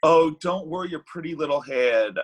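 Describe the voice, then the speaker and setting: A man's voice: fairly high pitch, moderate pace, lightly acted. A man reading the part of a flippant, evasive, bitter thirty-year-old Cupid at a comedy table read. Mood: breezy, evasive.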